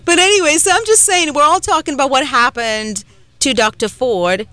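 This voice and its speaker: A woman's voice: high voice, moderate pace, pushed and bright, no room sound. A woman from Trinidad hosting a radio talk show, chatty and emphatic, with frequent fillers. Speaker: radio host. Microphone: studio microphone.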